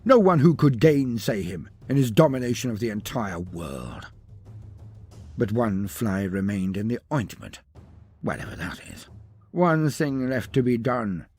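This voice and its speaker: A man's voice: mid-range voice, big pitch swings, unhurried, a little mellow, breathy edge, faint music behind. A man reading scripted fantasy narration as a storyteller, theatrical and emphatic, in short weighted phrases.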